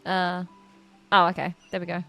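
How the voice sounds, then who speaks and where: A woman's voice: fairly low pitch, completely flat delivery, normal speed, gravelly, bright, forceful tone, soft background music. A woman livestreaming a game, chatting casually with gushing, giggly reactions to what she plays. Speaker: game streamer. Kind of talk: casual livestream gameplay commentary.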